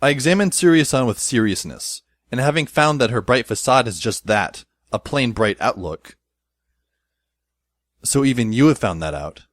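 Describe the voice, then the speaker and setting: A man playing a wry, introspective schoolboy who narrates an audio drama in a dry, measured, literary way. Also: mid-range voice, animated, dry recording, lightly acted. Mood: thoughtful. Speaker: narrator and lead character. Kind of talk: first-person scripted audio drama narration.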